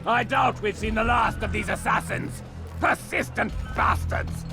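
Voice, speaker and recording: An actor playing a paranoid, tyrannical knight commander in a video game, with an accusing, theatrical delivery. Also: male voice, sits high, steady tone, a little slow, vocal fry, music in the background. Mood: furious, grandiose. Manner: accusing, theatrical, swinging from menace to shouting. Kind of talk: dramatic video game acting.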